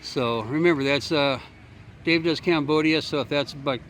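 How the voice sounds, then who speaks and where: A man's voice: a little high, normal speed, fairly bright tone. A man chatting casually in a rambling, folksy, joking way as a travel vlogger walking along. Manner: folksy, joking, informal mild swearing. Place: outdoors, walking along streets. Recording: outdoor street noise, passing vehicles.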